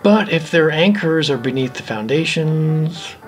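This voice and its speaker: Male ghost inspector, lively intonation, polite, businesslike, audio drama, faint music. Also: a little high, unhurried. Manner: polite, matter-of-fact.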